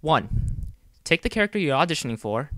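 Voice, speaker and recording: A man's voice: on the high side, sing-song, a little fast, sharp tone, no room sound. A man giving casual tutorial narration as a series creator walking people through auditions.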